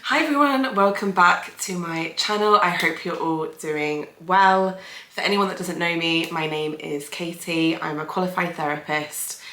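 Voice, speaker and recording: A woman's voice: fairly low pitch, a little slow, pushed and bright, echoey room. A young woman, a therapist hosting her channel, sounding a bit nasal and talking in a chatty, thoughtful way with many fillers. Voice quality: nasal from hay fever. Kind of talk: casual video commentary.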